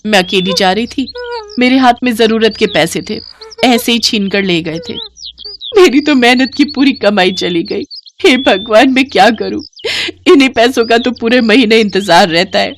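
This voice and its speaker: A woman's voice: on the high side, moderate pace, slight background noise, lightly acted. Woman playing an earnest poor village mother. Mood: despairing. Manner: plaintive, earnest. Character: poor village mother, tearful yet loving. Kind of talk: Hindi moral story voice acting.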